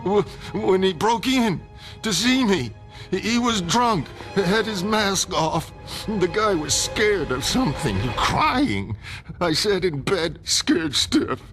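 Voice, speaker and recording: Man as a scared old retired villain, pleading, stammering, swooping pitch, music behind. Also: expressive, slow pace. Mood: frightened. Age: older. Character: frightened elderly retired male villain.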